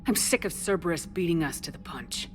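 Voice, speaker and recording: Woman acting a low-voiced, resolute military commander. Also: expressive, fairly quick, close to an everyday voice. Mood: determined, frustrated. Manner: terse, commanding. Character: weary, resolute female military commander in science fiction game. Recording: game music behind the voice.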